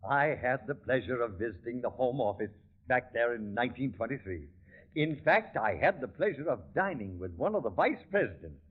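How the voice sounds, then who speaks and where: A man's voice: mid-range voice, very animated, a little fast, breathy edge. An actor playing an affable, long-winded, evasive insurance salesman in a 1940s radio comedy. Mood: smooth, affable. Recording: thin, tinny 1940s radio broadcast recording.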